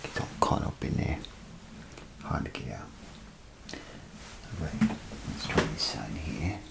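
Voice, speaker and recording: Very deep, gravelly soft-spoken ASMR man. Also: expressive, very noisy. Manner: unhurried, hesitant, murmured small talk. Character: easygoing male bathroom attendant. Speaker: ASMR role-play performer. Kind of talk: ASMR role play, soft-spoken with whispering.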